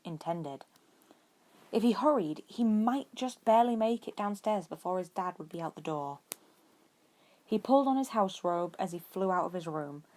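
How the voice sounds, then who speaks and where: A woman narrating a story from a script in an expressive way, as an amateur audiobook reader. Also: lowish voice. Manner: acts out dialogue with expression.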